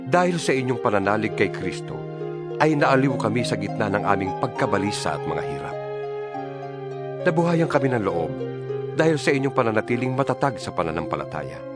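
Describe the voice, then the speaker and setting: A man's voice: mid-range voice, close to an everyday voice. A man playing an earnest, fatherly apostle exhorting his flock in a dramatized Bible reading. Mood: grateful, hopeful.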